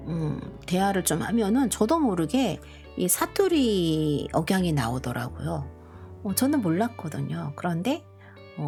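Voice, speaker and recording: A middle-aged woman from Korea who grew up partly in Busan, chatting slowly and gently to viewers with many pauses and fillers. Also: lowish voice. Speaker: live stream host. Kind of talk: casual live chat to viewers. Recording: soft background music.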